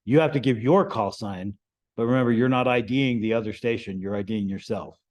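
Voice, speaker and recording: A man giving an informal, explanatory lesson to a group as the instructor, often checking in with a quick "right?". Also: lowish voice, a little mellow. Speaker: amateur radio class instructor.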